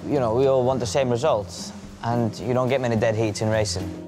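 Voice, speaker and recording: A young man from Norway, a jockey, giving relaxed, measured interview answers. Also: medium pitch, over music.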